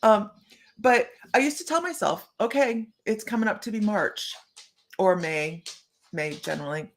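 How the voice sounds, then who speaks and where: Gravelly-voiced chatty woman host. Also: medium pitch, a little slow. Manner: chatty, laughs often, greets viewers by name. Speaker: psychic medium host. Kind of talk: live-stream talk to viewers.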